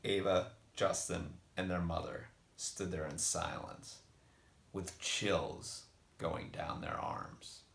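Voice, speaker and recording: A man reading a scripted spooky story for children in an expressive narrating style, with a gravelly voice. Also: sits low, slow. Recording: roomy, echoing voice.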